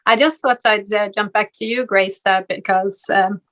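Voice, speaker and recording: A woman's voice: mid-range voice, fairly even intonation, normal speed, a bit bright. Woman moderating a live panel. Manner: hesitant, many fillers. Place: event stage.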